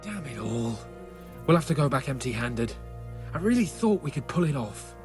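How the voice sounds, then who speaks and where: An actor playing a grumbling, sarcastic nobleman on a raid in a medieval video game, exasperated and quick to swear. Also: medium pitch, sing-song, over music, natural voice. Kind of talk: dramatic video game cutscene acting.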